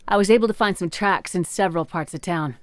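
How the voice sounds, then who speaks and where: A woman's voice: lowish voice, fast, no echo, close to an everyday voice. A woman playing a blunt, plain-spoken peasant fisherwoman grieving her brother in a fantasy audio drama. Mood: matter-of-fact.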